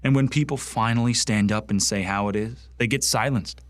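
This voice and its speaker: An actor playing a bitter, zealous young Martian recruit in a science fiction radio play, speaking with earnest, deliberate contempt. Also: male voice, medium pitch, no echo, studio quality, natural voice. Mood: resentful. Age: young adult. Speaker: actor in an audio drama.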